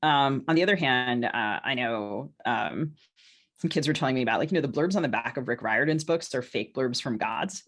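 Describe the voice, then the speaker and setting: A woman's voice: a bass voice, expressive, moderate pace, fairly bright tone. Woman podcast co-host, hesitant and discursive. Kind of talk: casual podcast conversation.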